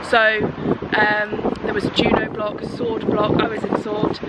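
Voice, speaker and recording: A woman's voice: medium pitch, completely flat delivery, measured pace. A British woman in her thirties chatting casually to a handheld camera outdoors as a travel vlogger. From United Kingdom. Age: 30s. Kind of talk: casual vlog chat to camera. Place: outdoors on seafront paths and roadsides. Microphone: handheld camera at arm's length. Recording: loud outdoor wind and traffic noise.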